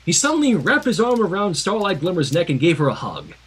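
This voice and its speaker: A livestream reader narrating a story in an animated way, with casual asides. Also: mid-range voice, a little fast, slightly rough.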